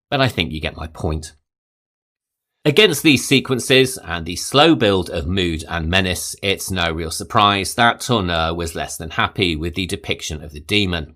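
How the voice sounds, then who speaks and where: Podcast guy reading his script, low voice but really animated, essay-like with little personal asides. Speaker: podcast host. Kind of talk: scripted film-history narration.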